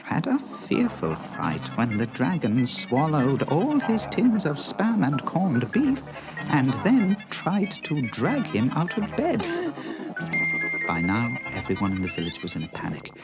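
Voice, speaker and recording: A man's voice: mid-range voice, huge pitch swings, unhurried, over music, poor audio. A man narrating a children's story in a dramatic, suspenseful way.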